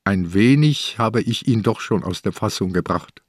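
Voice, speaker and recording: An old, ailing police inspector in a radio play. His voice is low and closely recorded without room sound. He probes bluntly, with a dry, stubborn edge. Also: animated, moderate pace, darkish tone, dry recording. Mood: brooding, suspicious. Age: older. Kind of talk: radio drama acting.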